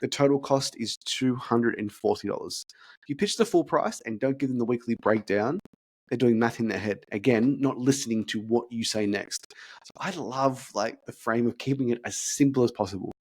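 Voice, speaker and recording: A man's voice: mid-range voice, breathy edge. Host type: man, sales coach, stammers through repeats, ordinary mid-range voice. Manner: talks through deliberate stutters and repeated words. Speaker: fitness business consultant. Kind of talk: casual podcast chat, role-playing sales calls.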